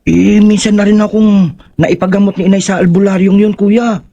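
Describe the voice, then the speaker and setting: A man's voice: sits high, steady tone, normal speed, warm tone, muffled recording. An actor playing an anxious young boy pleading for his older brother in a horror audio drama.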